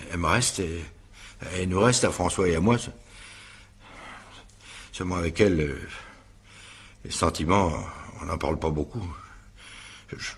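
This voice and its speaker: A man's voice: low voice, breathy edge, exaggerated voice. A male actor playing a nervous, evasive widower in a radio drama, hesitant, stammering and defensive as he is questioned. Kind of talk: French radio drama acting, naturalistic.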